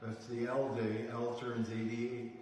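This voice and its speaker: An elderly man in his eighties chats in a loose, rambling way, tacking "okay?" onto his sentences. He has a medium pitch, and his voice carries a long reverb tail in the room. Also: little pitch movement, measured pace, darkish tone, a little hiss or hum. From Canada. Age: 80s. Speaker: guest of honor on a family livestream. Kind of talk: casual chat.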